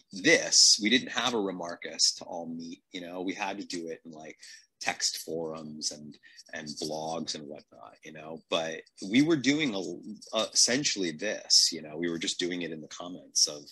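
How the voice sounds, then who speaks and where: A man with a fairly low, husky voice that still cuts through brightly. He chats casually like a podcast storyteller, voicing reactions along the way. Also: poor audio. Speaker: podcast host. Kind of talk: casual chat.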